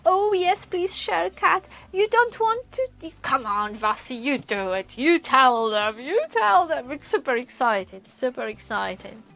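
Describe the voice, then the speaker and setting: A woman with a Polish accent chatting casually on a live stream, often pausing with hesitations as she answers viewers. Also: high-pitched, very animated, vocal fry, fairly bright tone, faint music behind. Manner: chatty, many hesitations, reads viewer comments aloud. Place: lives in a caravan on a UK campsite.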